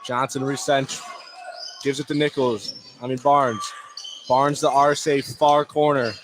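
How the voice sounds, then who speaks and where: Male play-by-play announcer, medium pitch, clipped running delivery, clear gym noise and ball bounces behind. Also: flat delivery, slow pace. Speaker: play-by-play basketball commentator. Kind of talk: live sports radio commentary. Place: indoor basketball gym. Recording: noisy gym ambience behind. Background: basketball bounces, gym noise.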